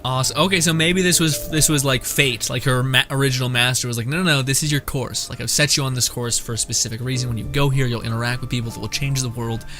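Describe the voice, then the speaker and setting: A man with a mid-pitched voice commenting casually on a game as he streams. He is informal and chuckly, with game music clearly behind him. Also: steady tone, fast, hardly any pauses, bright, forceful tone.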